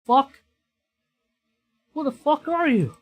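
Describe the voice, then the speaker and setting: A man's voice: very high-pitched, animated, slow, pitched above the performer's own voice, full character voice. A man voicing a frantic, protective friend in a horror visual novel. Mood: panicked.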